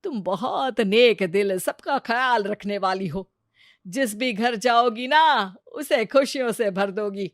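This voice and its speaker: A woman's voice: a little high, slow pace, no room sound, clean studio sound. Sarcastic grumbling mother-in-law. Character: grumbling older mother-in-law, sharp-tongued.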